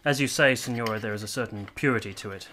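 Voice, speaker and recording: A man playing a courteous, reserved army captain in a radio play, speaking in a measured, formal manner. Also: mid-range voice, bright, forceful tone, a little hiss or hum, close to an everyday voice. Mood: genial. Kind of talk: radio play narration and dialogue.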